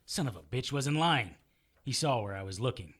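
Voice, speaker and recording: A man's voice: mid-range voice, animated, normal speed, a bit bright, breathy edge. A man playing a tough, sardonic fighter who tells his battles in first person in a noir audio drama. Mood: grim, intense. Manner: sardonic, gritty. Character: tough, wry immortal male fighter narrating his own battles.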